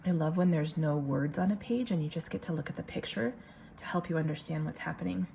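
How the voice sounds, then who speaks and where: A woman reading a picture book aloud like a kindergarten teacher, expressive, pausing for casual asides to the children. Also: low voice, gravelly, slight background noise.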